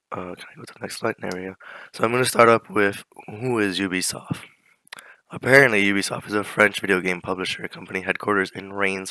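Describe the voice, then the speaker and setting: Hoarse teen boy with a flu presenting slides for class; low, clear voice, keeps clearing his throat. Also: sharp tone, dry recording, rough recording. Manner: casual, many fillers, clears throat often. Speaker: student presenter.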